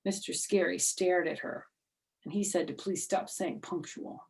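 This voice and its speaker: A woman's voice: fairly low pitch, fairly even intonation, a little slow, a little mellow. A middle-aged or older woman reading a children's book aloud expressively, with chatty asides to young listeners. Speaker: storyteller.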